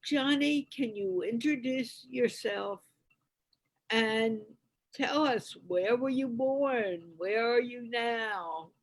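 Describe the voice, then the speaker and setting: Woman hosting a chatty online show, highish voice, takes her time, kinda halting. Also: poor audio. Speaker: host of online interview show. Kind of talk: casual live interview.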